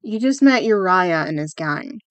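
A woman's voice: lowish voice, expressive, unhurried, no echo. A woman voicing a poised, confident, friendly teenage schoolgirl in a comic fandub.

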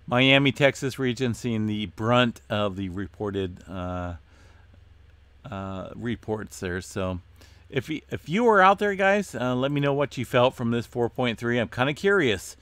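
A man hosting an earthquake update, talking casually through his screen with many fillers and a voice going hoarse. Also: mid-range voice, measured pace, no echo. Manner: relaxed, many "uh" fillers, addresses viewers as folks. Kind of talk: casual screen-share commentary.

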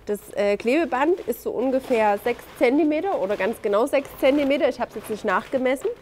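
A woman's voice: sits high, animated, fairly quick, a little mellow, slight background noise. A woman showing a hands-on building step, chatty and playful, tossing in little sound-effect words. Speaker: tiny house builder. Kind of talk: casual hands-on demo.

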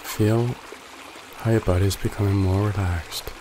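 A man guiding a body scan meditation in a calm, gently instructive way with long pauses. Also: fairly low pitch, noisy background. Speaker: meditation guide. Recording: loud running water behind the voice. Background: trickling stream water ambience.

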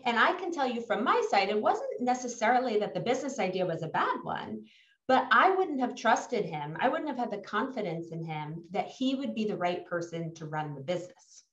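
A woman's voice: medium pitch, big pitch swings, normal speed, a bit bright. A woman with a New York American accent, an executive coach presenting a webinar in an emphatic, self-assured way. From New York, United States. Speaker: executive coach leading a workshop. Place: indoor room on a video call. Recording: dull, roomy, distant webinar audio.

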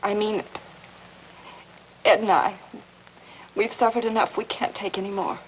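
A woman's voice: mid-range voice, steady tone, a little slow, close to an everyday voice. An actress playing a desperate young wife in a 1950s television melodrama, earnest and strained as she pleads. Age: young adult. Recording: noisy 1950s film soundtrack.

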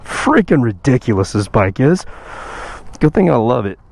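A man chatting casually while riding his motorcycle, his voice a bit rough from recovering after losing it. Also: medium pitch, very animated, normal speed, a little mellow, no echo. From Texas. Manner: chatty, self-joking, sighs and laughs. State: recovering from lost voice. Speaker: motovlogger. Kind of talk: casual motorcycle vlog chat. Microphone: helmet-mounted action camera mic. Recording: outdoor wind and road noise.

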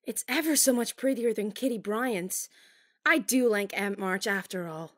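A woman's voice: medium pitch, moderate pace, natural voice. A woman playing a vain, eager youngest sister who gushes over a pretty ring. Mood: gushing. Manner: eager, gushing.

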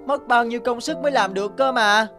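A woman puts on a young child from an animated folk tale. The voice is high, puzzled and a little grudging, with music clearly heard behind it. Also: fairly quick, close to an everyday voice.

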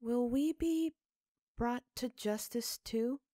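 A woman voicing an innocent, curious young girl with a flat, childlike manner in a story reading. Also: on the high side, takes it slowly, dry recording, studio quality, voice pushed up. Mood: troubled. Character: innocent, curious, inexpressive young girl, not quite human.

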